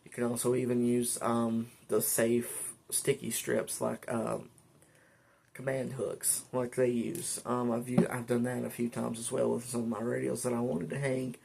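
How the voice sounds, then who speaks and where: Male vlogger, creaky mid-pitched voice, informal and halting, talking through features one step at a time. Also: monotone, a little mellow, slight background noise. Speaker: vlogger reviewing a weather radio. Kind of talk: casual product demonstration, unscripted. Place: indoors at a desk.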